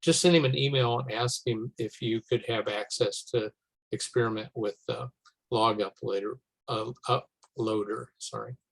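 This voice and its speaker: Hesitant man with a medium-pitched voice. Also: steady tone, moderate pace, creaky, fairly bright tone, husky, low-quality recording. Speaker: meeting participant. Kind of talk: informal conference call discussion. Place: remote teleconference.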